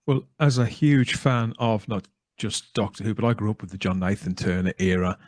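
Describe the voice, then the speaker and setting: A man's voice: lowish voice, moderate pace, darkish tone. A middle-aged man hosts a conversational podcast interview. He talks at length in a reflective way, in an average register, and the sound is dry with no room echo. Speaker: podcast host.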